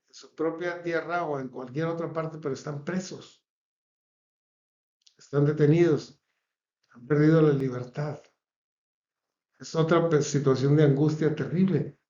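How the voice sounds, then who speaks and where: A man's voice: a little high, dark tone, reverberant, highs cut off. A man with a Mexican accent preaching a sermon in an earnest cadence, stacking phrases one after another. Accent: Mexican Spanish. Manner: earnest, repetitive listing cadence.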